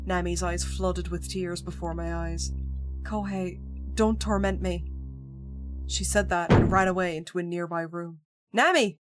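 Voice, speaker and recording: A woman streamer reading a visual novel's text aloud in an even voice, breaking off for playful asides to her viewers. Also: on the low side. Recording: music playing clearly behind the voice.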